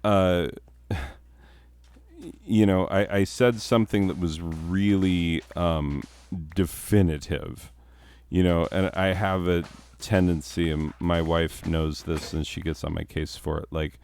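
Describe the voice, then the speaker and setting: Deep-voiced guy rambling about his teaching, falls into a newscaster tone, real low voice. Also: steady tone, slow, a little mellow, clear, resonant tone. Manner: newscaster-like downward inflection, authoritative; rambling with restarts and chuckles. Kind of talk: casual chat.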